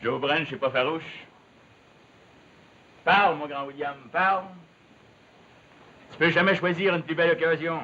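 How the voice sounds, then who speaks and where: A man's voice: fairly high pitch, fairly even intonation, measured pace, long pauses, sharp tone. A male actor playing a brawny, bold, sociable backwoods log driver in a 1950s television drama, with a Quebec French accent and colloquial, challenging rural speech. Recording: muffled, noisy old television soundtrack.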